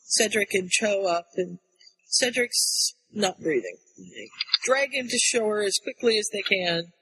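A man co-hosting a casual book discussion, retelling the story in an animated way. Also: sits high, unhurried, husky. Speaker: podcast co-host. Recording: long echoey reverb.

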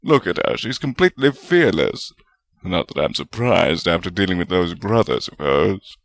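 A man's voice: sits low, very animated, moderate pace, gravelly, exaggerated voice. A man voicing a hearty, stubborn, teasing male wizard spirit with a jovial manner in a storybook reading. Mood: amused.

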